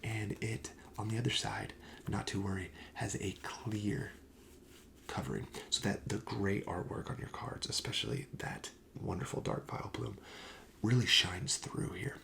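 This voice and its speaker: A man speaking softly in a breathy half-whisper, playing a gentle, polite shop clerk in an ASMR roleplay. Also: on the low side, expressive, measured pace, a little hiss or hum. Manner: gentle, polite, attentive salesman.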